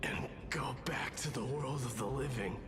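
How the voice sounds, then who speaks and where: An actor playing a grim, proud undying warrior lord in a video game, fierce, breathy and declamatory. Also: male voice, mid-range voice, normal speed, background noise, lightly acted. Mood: grim, resolute. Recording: loud game music and effects.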